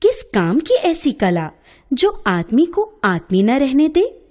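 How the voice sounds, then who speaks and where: A woman's voice: high-pitched, wildly swooping pitch, slow, a little mellow, pitched above the performer's own voice, heavily acted. A woman voicing an earnest, grumbling young woman social worker in a storybook tale, reproachful and indignant.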